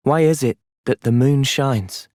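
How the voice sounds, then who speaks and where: A man playing an earnest, thoughtful young soldier and party leader in a video game, sincere and resolute in a measured way. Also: mid-range voice, darkish tone, dry recording, studio quality, close to an everyday voice. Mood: calm, thoughtful. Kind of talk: video game cutscene acting.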